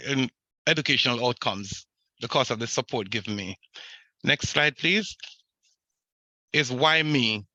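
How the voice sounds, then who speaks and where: Middle-aged man, very bright tense voice, formal, dry muffled video-call sound. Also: mid-range voice, dry recording. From Jamaica. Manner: steady, formal, reads from slides. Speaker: superintendent candidate. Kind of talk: prepared self-introduction.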